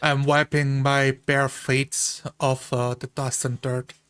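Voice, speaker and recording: A man's voice: medium pitch, level tone, slow pace, fairly bright tone, clear, resonant tone. A man who is a tabletop game player, describing and voicing his character's actions.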